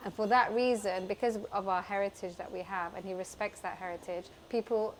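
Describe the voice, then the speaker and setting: Steady, factual businesswoman. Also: mid-range voice, a little fast, a little hiss or hum. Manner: steady, factual, prompts her sister. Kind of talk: interview conversation.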